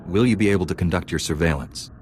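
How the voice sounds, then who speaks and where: An actor playing a calm, resolute knightly hero in a video game, speaking directly. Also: male voice, sits low, quick pace, slight background noise, lightly acted. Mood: composed. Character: resolute, composed male Jedi knight hero.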